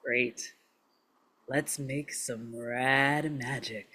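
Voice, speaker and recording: A man's voice: mid-range voice, fairly even intonation, very slow, sharp tone, slightly rough, heavily acted. A man playing an over-friendly, upbeat corporate minder with slangy encouragement in a table read. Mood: chirpy.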